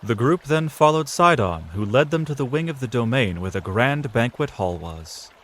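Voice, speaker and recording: A man's voice: medium pitch, lively intonation, normal speed, a bit bright, slight background noise. A man reading scripted narration for a fantasy audio drama, in a storyteller's style.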